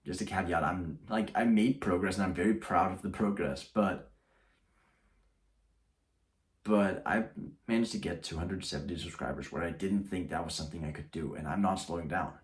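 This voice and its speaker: Low-voiced young man rambling to camera. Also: fairly quick. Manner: rambling with frequent restarts. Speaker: YouTuber. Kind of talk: casual talk to camera.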